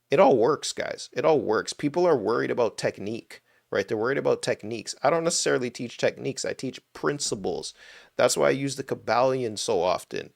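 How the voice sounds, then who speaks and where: A man's voice: medium pitch, creaky, no room sound, studio quality. A man, hosting a casual live stream and giving emphatic, conversational answers to viewer questions. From Toronto, Canada.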